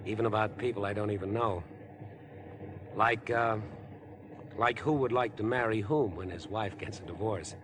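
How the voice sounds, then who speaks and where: Male actor, overeager ingratiating stranger, low pitch, chatty, obsessive streak, 1950s radio play, train rumble behind. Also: moderate pace, pauses often, a bit bright, lightly acted. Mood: chatty, prying. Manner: chatty, pushy, fawning. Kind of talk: 1950s radio drama acting.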